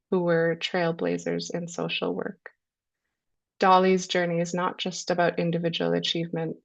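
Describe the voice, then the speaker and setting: A woman with a low voice and a cold, hosting a webinar and introducing its speakers in a measured way with frequent ums. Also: vocal fry. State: has a cold. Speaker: webinar host. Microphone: video call. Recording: lo-fi video call audio.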